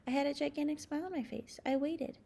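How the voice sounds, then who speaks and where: A woman reading a children's storybook aloud as narrator, with expressive, dramatic emphasis. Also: high voice, quick pace. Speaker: storybook reader.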